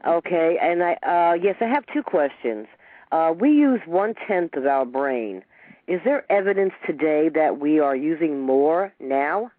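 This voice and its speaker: A woman from New York calling in to a radio show, eager and enthusiastic, often pausing with "uh". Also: low voice, animated, no echo. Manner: enthusiastic, hesitant with uh. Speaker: radio caller. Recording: tinny dry phone line.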